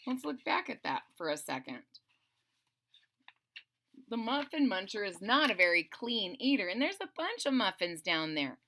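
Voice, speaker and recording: A woman reading a storybook aloud to children, expressive and conversational, speaking directly to young listeners. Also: medium pitch, normal speed, a little hiss or hum. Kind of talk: animated children's read-aloud with casual asides.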